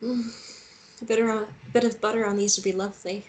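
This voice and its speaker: A woman's voice: mid-range voice, flat delivery, a little fast, slight background noise, natural voice. An actress playing a bold, teasing, injured young woman in a romance table read, with a naturalistic, playful delivery. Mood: frank.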